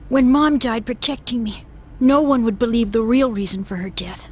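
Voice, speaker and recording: A woman voicing a solemn, grieving young boy in a video game, speaking earnestly and with measured care. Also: fairly high pitch, husky. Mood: hurt, bitter. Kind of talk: dramatic video game voice acting. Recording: loud music behind the voice. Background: music.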